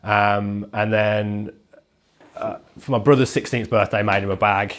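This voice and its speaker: Man, thirties, stuffy nasal cold, lowish voice, rambling casual talk. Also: level tone, a little slow. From England. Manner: casual, rambling, heavy use of "like". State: has a head cold. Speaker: podcast guest, leather maker. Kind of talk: casual chat.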